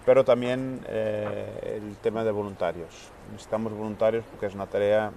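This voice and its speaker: A man who coordinates a charity's refugee work answers interview questions earnestly, pausing often with "eh" and repeating words. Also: on the low side, fairly even intonation, creaky, mellow, a little hiss or hum. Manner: earnest, searching for words with many hesitations.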